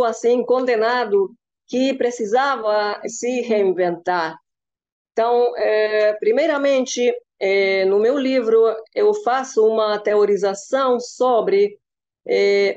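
Young woman, Hungarian-Cuban accent, academic guest, halting speech with fillers, dull webcam audio. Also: mid-range voice, a little mellow. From Cuba. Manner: hesitant, many filler sounds. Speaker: professor and researcher, interview guest. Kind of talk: interview answer. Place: room with curtains indoors. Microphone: webcam on video call.

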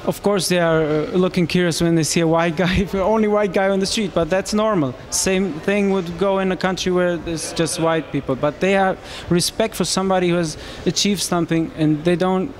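Man, high voice, halting English. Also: fairly even intonation. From Romania. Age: 30s. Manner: halting non-native English with frequent restarts and laughs. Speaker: marathon runner interviewee. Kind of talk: casual interview answers. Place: indoor room, small. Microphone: handheld mic.

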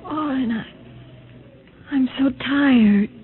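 An actress in a 1950s radio drama playing a frightened, tender young wife with breathless, melodramatic delivery, on a noisy old recording. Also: female voice, high voice, takes it slowly, phone-quality sound. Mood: weary, afraid.

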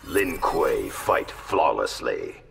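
An actor playing a stern, solemn warrior master in a video game, deep-voiced and gravelly. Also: male voice, sing-song, slow pace, long reverb tail, heavy background noise, full character voice. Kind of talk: video game character dialogue.

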